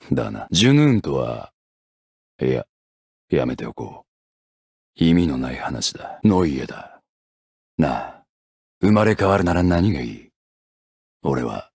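A death-hungry gunman from an anime-style game. His voice is deep and husky, recorded very dry. He is scornful and listless, with menace underneath. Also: dry recording, heavily acted. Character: brooding death-seeking male gunman, scornful, longing for rebirth.

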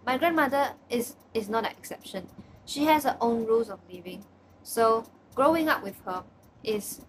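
A woman's voice: mid-range voice, noisy background. Young Malaysian English woman, chatty. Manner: chatty, frequent restarts and repeats. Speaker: student. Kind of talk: casual personal video report. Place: small bedroom, indoors. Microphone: webcam at desk distance.

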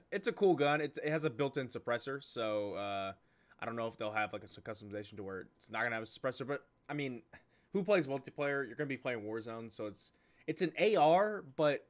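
Bright-voiced young American gaming host. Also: male voice, mid-range voice. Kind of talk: casual reaction commentary. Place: indoors at a desk, computer setup.

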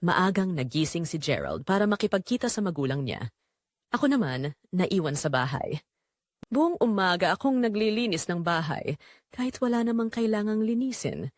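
Animated Filipino woman narrating a confessional drama. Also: fairly low pitch, normal speed, dry recording, studio quality. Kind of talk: dramatic first-person scripted narration.

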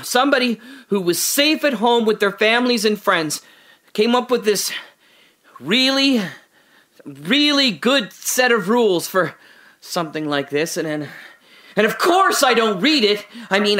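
A bitter, sardonic man held in custody rambles through a radio-drama monologue. His tone is bright and forceful, and he drifts from hushed, brooding musing into indignant outbursts. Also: very high-pitched, full character voice. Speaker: voice actor.

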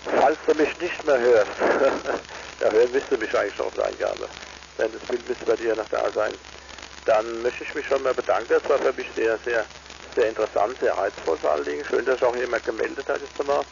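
A man chatting casually as an amateur radio operator, speaking in a halting, courteous way. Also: medium pitch, creaky. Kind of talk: casual radio contact chat. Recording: noisy, dull amateur radio link.

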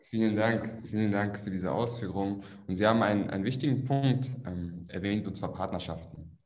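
A man's voice: fairly low pitch, a little fast, darkish tone. A young man moderating an online panel in German, asking polite, well-structured questions. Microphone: video call webcam.

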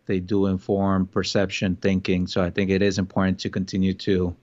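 Low flat-voiced man, dull lo-fi sound. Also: a little fast, dry recording. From Colombia. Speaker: podcast co-host. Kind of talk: casual chat.

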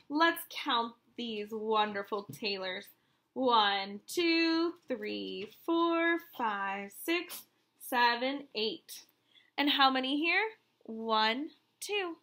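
Female primary teacher for a children's read-aloud: bright, high voice, encouraging and sing-song.

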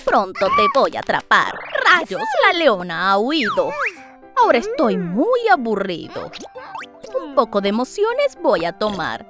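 A woman's voice: fairly high pitch, wildly swooping pitch, a little slow, voice pushed down. A woman voicing a sly male cartoon rat villain, speaking in gloating rhymes. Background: children's music.

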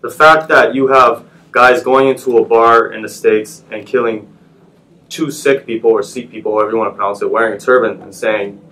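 A man's voice: mid-range voice, fairly even intonation, a little fast, bright, forceful tone, rough recording. A young man, a guest in a studio interview, speaking thoughtfully with hesitant restarts. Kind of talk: casual interview chat. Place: small TV studio.

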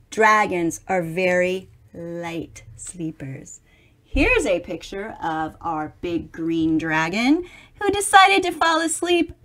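A middle-aged woman reading a picture book aloud expressively, theatrically stretching words and adding chatty asides for young listeners. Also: on the high side. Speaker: children's storybook reader.

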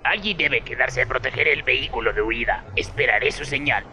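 A man's voice: mid-range voice, a little fast, sharp tone, slight background noise. A man with a Latin American accent playing a stern, wary, protective older con man mentor in a game fan dub. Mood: stern, cautious.

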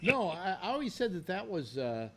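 A man's voice: high voice, big pitch swings, moderate pace, crowd noise. A man in his sixties hosting a casual panel chat over a video call, blunt, crude and sardonic. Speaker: host. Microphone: studio mic on arm.

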